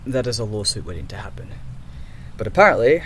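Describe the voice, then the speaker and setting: A man's voice: fairly low pitch, very animated, fast, a bit bright, slight background noise, poor audio. A man playing an exasperated, sarcastic creator of an artificial intelligence in an audio roleplay. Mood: weary, exasperated. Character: exasperated male creator and programmer of an archive AI.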